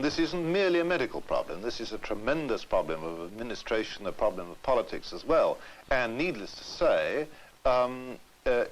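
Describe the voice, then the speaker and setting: A man giving formal interview commentary as an expert, articulate and measured, with firm emphasis on key points. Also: on the low side, low-quality recording. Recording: faintly hissy 1960s film soundtrack.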